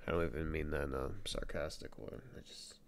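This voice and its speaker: Man acting a very deep-voiced, gravelly shy boyfriend. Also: steady tone, slight background noise. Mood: flustered, shy. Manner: hesitant, halting, stammering, soft-spoken. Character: shy young male best friend confessing love. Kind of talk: ASMR boyfriend roleplay.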